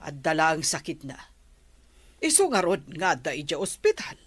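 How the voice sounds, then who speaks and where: A woman voicing a caring but strict old grandmother in a radio drama, slow and scolding yet tender. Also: low voice, sing-song, husky, no room sound, exaggerated voice. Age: older. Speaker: grandmother character. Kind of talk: Ilocano radio drama acting.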